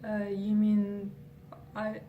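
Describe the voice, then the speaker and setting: A woman's voice: mid-range voice, monotone, very slow, phone-quality sound. Kazakh-accented young woman, hesitant speech. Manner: hesitant, many fillers and restarts. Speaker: interviewee. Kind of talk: casual interview answers. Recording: faint noise, distant from mic.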